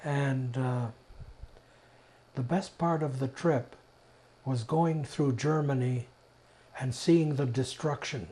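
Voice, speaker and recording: An elderly man from Czechoslovakia giving personal testimony, telling his story deliberately in short, emphatic phrases with pauses. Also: mid-range voice, mellow. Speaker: interviewee, Holocaust survivor giving testimony. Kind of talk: personal oral history testimony.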